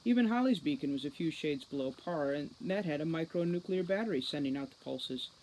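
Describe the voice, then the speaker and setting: A woman giving an expressive scripted narration of a story as an audiobook reader. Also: low-pitched, fairly quick, slight background noise, poor audio.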